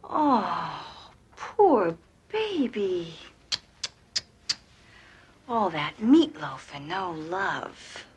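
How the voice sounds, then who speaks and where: A proud, scornful woman in a TV drama, with a very husky voice. She talks slowly and sarcastically, with lively swings in tone. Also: mid-range voice, exaggerated voice. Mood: mocking. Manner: sarcastic, cutting. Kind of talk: naturalistic television drama dialogue.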